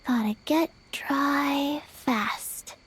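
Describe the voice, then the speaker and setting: A woman voicing a small, earnest zombie child girl in a video game, speaking in a halting deadpan. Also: high voice, heavily acted. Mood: flat, earnest. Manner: deadpan, third-person, halting.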